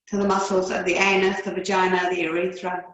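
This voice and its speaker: A woman yoga teacher leading guided relaxation and breathing in a calm, soothing, unhurried way with long pauses. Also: on the low side, lo-fi sound. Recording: roomy, echoey indoor sound.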